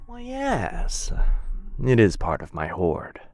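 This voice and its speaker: A man's voice: on the low side, wildly swooping pitch, slow, darkish tone, full character voice. A man playing a possessive, courteous dragon captor who dotes on a human pet in a boyfriend ASMR fantasy roleplay, with a coaxing, condescendingly affectionate manner. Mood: smug, teasing. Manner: mock-polite, coaxing, theatrical, condescendingly affectionate.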